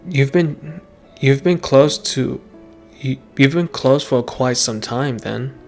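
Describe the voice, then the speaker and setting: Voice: medium pitch, slow pace, vocal fry, darkish tone, soft background music. A gamer reacting casually to a playthrough, slangy and muttering, often repeating phrases. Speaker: gaming streamer.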